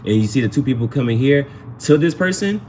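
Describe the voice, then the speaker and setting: A man reads tarot live in a casual, slangy way. He repeats his phrases as he goes and talks straight to his viewers. His voice is mid-pitched, with steady noise behind it. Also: fast. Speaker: tarot reader, livestream host. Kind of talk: casual live tarot reading, talking to chat.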